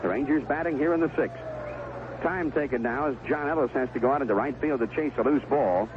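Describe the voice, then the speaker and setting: A man's voice: mid-range voice, brisk, dull audio, noisy background. A man calling a baseball game play by play on a 1970s radio broadcast. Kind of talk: live radio baseball commentary.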